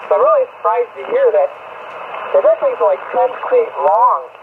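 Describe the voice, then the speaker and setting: A man's voice: very high-pitched, wildly swooping pitch, normal speed, gravelly, narrow, tinny audio. An American man chatting casually and at length as an amateur radio operator over shortwave, often hesitating and repeating himself. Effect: heard over shortwave ham radio. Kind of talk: casual ham radio conversation. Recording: thin, very noisy shortwave radio signal. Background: loud radio static.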